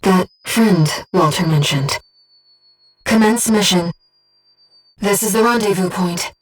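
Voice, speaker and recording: A woman voicing a gentle, earnest disembodied being in a video game, guiding a pilot with composed, precise, softly formal delivery. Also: medium pitch, takes it slowly, husky, distant mic, low-quality recording. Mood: calm, informative. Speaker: mission support operator. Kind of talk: scripted video game voice acting.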